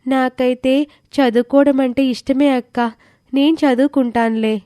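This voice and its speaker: A woman voicing a small, trusting young girl in an animated moral story. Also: high-pitched, moderate pace, a little hiss or hum, natural voice. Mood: innocent, earnest.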